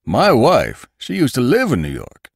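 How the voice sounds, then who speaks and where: A man's voice: medium pitch, huge pitch swings, unhurried, vocal fry, husky, no room sound, voice pushed up, full character voice. A man voicing a suspicious, gossiping old boarding-house keeper who talks in a folksy rustic dialect. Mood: prying.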